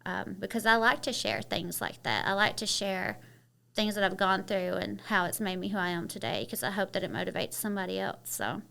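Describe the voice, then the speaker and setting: A woman's voice: mid-range voice, big pitch swings, fairly quick, vocal fry, fairly bright tone. A woman from Kentucky with a Southern twang, chatting openly as a podcast guest and using many "you know" fillers. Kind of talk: casual interview chat.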